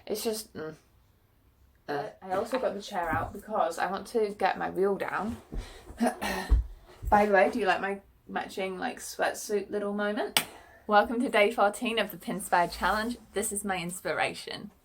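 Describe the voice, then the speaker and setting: A young woman, chatting frankly to camera in a vlog with lots of fillers, her voice a bit croaky from being unwell. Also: mid-range voice, fairly even intonation, normal speed, a little hiss or hum. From New Zealand. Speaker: book vlogger. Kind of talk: casual chat to camera.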